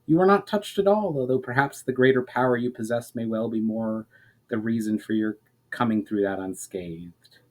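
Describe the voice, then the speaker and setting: A man's voice: medium pitch, normal speed, darkish tone, close to an everyday voice, low-quality recording. A man voicing a curious, questioning woman warrior with formal speech for a fantasy story. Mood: modest. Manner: formal, inquisitive. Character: female warrior, curious, questioning, sometimes sarcastic.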